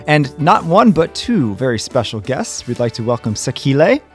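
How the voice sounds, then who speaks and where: A man's voice: medium pitch, sing-song, moderate pace, faint music behind. A man, a priest, hosting a podcast interview in a friendly, conversational way.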